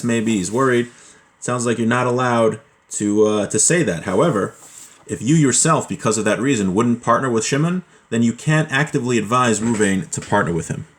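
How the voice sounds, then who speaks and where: Man explaining laws from a Hebrew text. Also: mid-range voice. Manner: reads Hebrew passages, then explains them plainly in English; relaxed, with frequent fillers and self-corrections. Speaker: Torah teacher.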